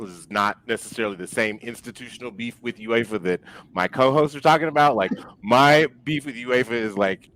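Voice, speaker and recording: A man chatting casually as a sports podcast guest, halting and circling back as he talks. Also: mid-range voice, a bit bright, a little hiss or hum, low-quality recording. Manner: halting, hedging, profane. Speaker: podcast guest and co-host of a fan podcast.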